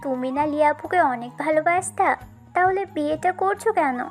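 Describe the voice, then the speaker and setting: A woman's voice: high voice, moderate pace, faint music behind, natural voice. A woman acting a caring, earnest young married cousin in a romantic audio story. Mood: concerned, curious.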